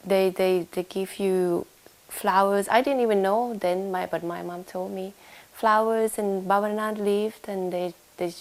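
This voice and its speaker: A woman's voice: on the low side, darkish tone, poor audio. A young woman from Thailand with a Thai accent, answering interview questions with frequent fillers and restarts. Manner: hesitant, many fillers and restarts. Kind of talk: casual oral history interview. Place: home room in London.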